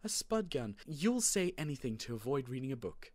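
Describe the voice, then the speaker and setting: A man voicing an exasperated, brisk mother who scolds and dismisses, in a storybook reading. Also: mid-range voice, big pitch swings, fairly bright tone, dry recording, voice pushed up. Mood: amused disbelief. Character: exasperated mother, adult woman, brisk and firm.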